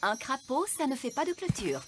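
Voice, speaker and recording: A high, bossy fairy nanny. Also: female voice, sing-song, normal speed, soft background music. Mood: smug. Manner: self-assured, theatrical. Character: bossy, confident fairy nanny casting spells. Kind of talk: cartoon voice acting.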